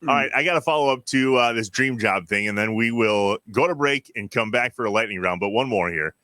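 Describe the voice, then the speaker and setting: A man's voice: fairly low pitch, a little fast, bright, forceful tone, no echo. An American man hosting a podcast, putting questions to his guests in casual chat. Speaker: podcast host.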